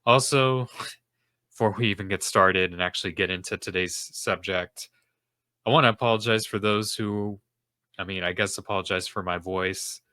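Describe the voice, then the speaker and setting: A man's voice: low voice, steady tone, normal speed, bright, forceful tone, smooth, no room sound. A young man hosting a faith-based podcast as a self-esteem coach, talking in an earnest, rambling way with lots of fillers, his nose stuffed up. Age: young adult. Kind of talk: casual solo podcast monologue. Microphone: podcast microphone.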